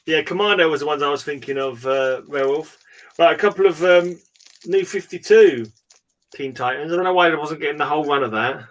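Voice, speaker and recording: A man's voice: fairly high pitch, fairly quick, a bit bright, poor audio. A British man chatting casually and informally as host of a comics hangout. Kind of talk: casual chat.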